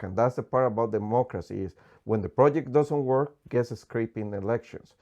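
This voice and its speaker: A man's voice: mid-range voice, dark tone. Colombian guy, spanish is his first language, fired up and emphatic, sounds a bit dull and lo-fi. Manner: passionate, emphatic, non-native phrasing. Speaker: guest economist. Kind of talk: candid political interview.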